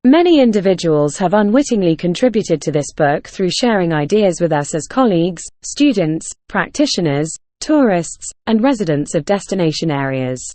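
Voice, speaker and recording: A woman's voice: on the low side, big pitch swings, moderate pace, few pauses, darkish tone, no room sound. A woman reading a scripted practice passage aloud. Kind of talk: scripted read-aloud narration.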